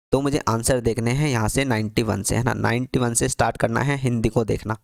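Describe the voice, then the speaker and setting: A man's voice: mid-range voice, vocal fry, dry recording, clean studio sound. A man tutoring for a teaching exam, walking through an answer key on screen, asking himself questions and answering them with constant repetition.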